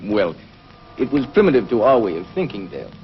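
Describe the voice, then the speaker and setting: An actor playing an earnest, learned scientist from the future in 1950s television science fiction, speaking in a deliberate, explanatory, professorial way. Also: male voice, lowish voice, sing-song. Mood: thoughtful, earnest. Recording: noisy, dull 1950s television soundtrack.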